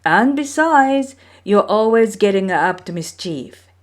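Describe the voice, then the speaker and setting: A woman's voice: mid-range voice, lively intonation, slow pace, voice pushed up. A woman voicing the scornful, exasperated older brothers in a storybook folktale, scoffing and dismissive.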